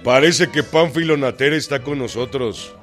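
An actor playing a commanding Mexican revolutionary general in a radio drama, with a Mexican accent. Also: male voice, mid-range voice, normal speed, fairly bright tone, faint music behind, natural voice. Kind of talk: radio drama acting, dictating letters and orders.

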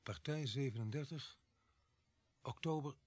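An actor playing a blunt, suspicious police sergeant in a Dutch radio drama, with a husky, grumbling delivery. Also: male voice, medium pitch, a little slow, darkish tone, lightly acted. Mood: suspicious, indignant.

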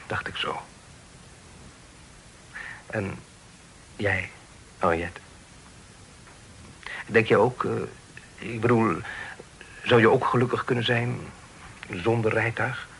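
A man's voice: fairly low pitch, fairly even intonation, slow, gravelly, pauses often, a bit bright, a little hiss or hum, exaggerated voice, poor audio. An actor playing a bashful young man in love in a period radio drama, pleading in hesitant, formal, old-fashioned phrases. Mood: nervous, hopeful. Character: earnest, bashful young suitor, hopelessly in love.

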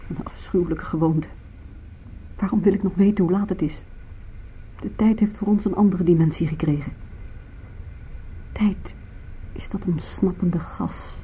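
An actress playing an anxious but composed woman in danger in a radio play, questioning in a hushed, tense way. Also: on the low side, unhurried, long pauses, a little hiss or hum, lightly acted, rough recording. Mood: fatalistic.

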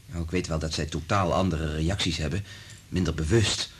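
An actor playing an anxious, principled research scientist in a Dutch radio drama, earnest and emphatic. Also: male voice, rumbling low, steady tone, moderate pace, breathy edge. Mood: explaining, uneasy.

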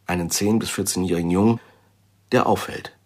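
Intelligence client, low husky voice, guarded, deliberate, businesslike, radio crime drama. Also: male voice, fairly even intonation. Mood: measured, guarded.

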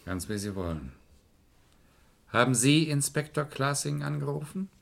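A shrewd, domineering radio-play lawyer. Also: male voice, mid-range voice, animated, measured pace, creaky, a bit bright. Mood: businesslike, probing. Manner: probing, sardonic, commanding. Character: shrewd, domineering defence lawyer, scornful and commanding. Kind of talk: German crime radio play acting. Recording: old radio drama recording.

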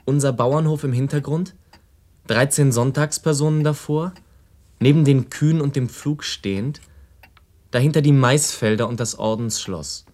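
An actor playing a reflective man who describes old family photographs in a measured radio play narration. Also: mid-range voice, darkish tone, close to an everyday voice.

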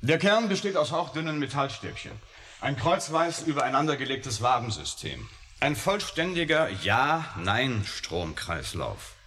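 An actor in a science fiction radio play, playing an intense, defiant scientist who speaks in an emphatic, pensive way. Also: male voice, medium pitch, very animated, measured pace, a bit bright. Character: intense, brooding, defiant scientist, grown man. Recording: faintly hissy old radio drama recording.

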